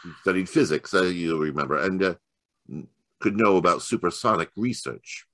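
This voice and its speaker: An actor playing a cool, precise gentleman detective in an old-time radio mystery. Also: deep voice, animated, normal speed, no echo. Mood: coolly explanatory.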